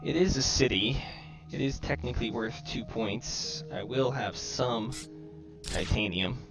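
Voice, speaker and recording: Robotic-sounding, creaky man commentating a game. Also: medium pitch, a little slow. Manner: thinking aloud in short clipped remarks. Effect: robotic-sounding microphone distortion. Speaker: gamer commentating own match. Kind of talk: casual gameplay commentary. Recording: robotic, glitchy microphone sound. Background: music.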